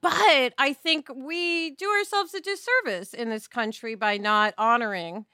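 A woman with a fairly high, very bright voice talks as a podcast co-host. She is middle-aged, animated and chatty, leaning on her points with emphasis. The sound is close and dry. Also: a little slow, smooth, no room sound. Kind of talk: casual podcast discussion.

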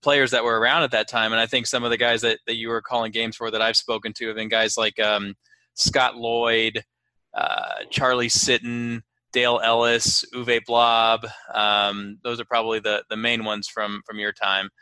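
A man with a bright, forward voice hosts a casual sports interview. His pitch stays fairly even and on the low side, and he phrases things hesitantly in a close, dry recording. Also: moderate pace, clean tone, dry recording. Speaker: podcast host, interviewer.

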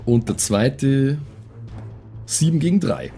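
A man's voice: mid-range voice, expressive, slow pace, creaky, dark tone. A man chats casually as a player in a tabletop role-playing game. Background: background music.

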